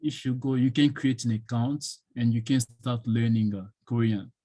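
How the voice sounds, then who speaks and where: A man whose first language is French, answering questions as a guest speaker in an online session, pausing often with fillers. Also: mid-range voice, steady tone, warm tone, no room sound. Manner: hesitant, many fillers, thinking aloud. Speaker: guest speaker on studying abroad.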